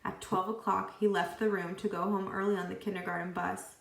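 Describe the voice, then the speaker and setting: A woman reading a children's book aloud expressively, with deliberate phrasing and acted dialogue. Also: mid-range voice, flat delivery, creaky, a bit bright, echoey room. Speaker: read-aloud storyteller.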